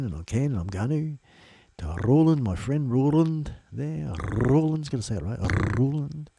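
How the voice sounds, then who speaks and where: A man's voice: mid-range voice, warm tone. A man with a blocked nose, hosting a casual live stream in an upbeat, loose, repetitive way. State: blocked nose, a bit nasal. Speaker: livestream host. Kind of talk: rambling casual live chat. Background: faint music in the background.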